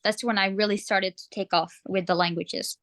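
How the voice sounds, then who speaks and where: A woman's voice: medium pitch, steady tone, moderate pace, fairly bright tone, no room sound, clean studio sound. A teenage girl on a video call, chatting casually in a friendly, reassuring way. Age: teens. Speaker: guest language speaker. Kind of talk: casual conversation.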